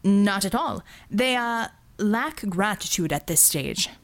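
A woman's voice: mid-range voice, expressive, slow. A woman voicing a deferential, fretful Indian businessman in an audiobook, formal and hesitant in manner. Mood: uneasy. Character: anxious, deferential Indian businessman, stiff and easily offended.

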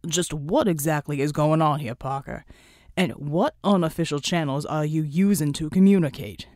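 Woman voicing a stern, low-voiced male superhero officer. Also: moderate pace, darkish tone, no room sound, clean studio sound, close to an everyday voice. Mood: suspicious. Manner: formal, firm, reproachful.

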